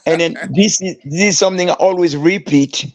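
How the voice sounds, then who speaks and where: An older man from Haiti, a former player and coach, telling stories in halting, broken English, often restarting his phrases. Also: on the high side, no echo. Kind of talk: casual storytelling.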